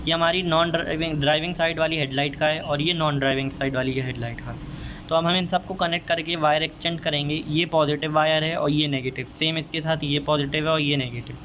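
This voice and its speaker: A man's voice: on the high side, fairly even intonation, fast, a bit bright, slight background noise. Chatty young man explaining a car mod. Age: young adult. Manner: chatty step-by-step explaining, addressing viewers as friends. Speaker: DIY car modification vlogger. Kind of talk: casual how-to explanation. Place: outdoors beside a car, at the engine bay. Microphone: handheld phone camera.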